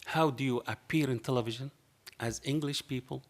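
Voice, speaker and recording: A man's voice: medium pitch, brisk. A middle-aged man with a strong Arabic accent, speaking on a panel and telling a story in which he acts out both sides of a conversation. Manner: passionate, non-native phrasing. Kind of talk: anecdotal public talk. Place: dark lecture hall panel table. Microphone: table microphone.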